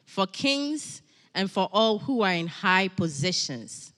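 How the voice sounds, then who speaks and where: Animated woman preaching in a reverberant hall. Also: mid-range voice, slow. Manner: animated, emphatic, repeats key phrases. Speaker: guest preacher. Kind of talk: sermon with personal asides.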